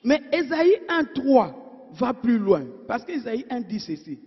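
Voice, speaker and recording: A woman preacher delivering a sermon, asking her congregation rhetorical questions and swinging between emphatic and hushed delivery. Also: on the high side, big pitch swings, takes it slowly, husky. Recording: dull sound in a reverberant church hall.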